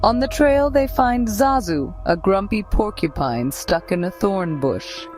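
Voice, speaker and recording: Slow-paced woman narrating a children's story. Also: mid-range voice, lively intonation, darkish tone, soft background music.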